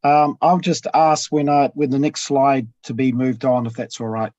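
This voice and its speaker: A man with a husky voice, giving a measured academic talk over a video call, often pausing with ums. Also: medium pitch, level tone, a little mellow, dry recording. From New Zealand. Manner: measured, with frequent ums and pauses. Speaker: tax professor presenting. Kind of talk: academic webinar presentation. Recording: dull lo-fi video call audio.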